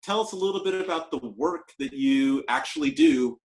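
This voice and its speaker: A man hosting an interview, asking questions in an unhurried, hesitant way with fillers. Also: a little high. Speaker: host, interviewer. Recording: echoey room.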